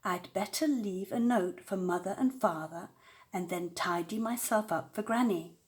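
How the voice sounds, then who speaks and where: A woman voicing a bold, eager little witch girl in an expressive, earnest storybook read-aloud. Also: medium pitch, lightly acted. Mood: cheerful, determined. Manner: deliberate, expressive storybook delivery.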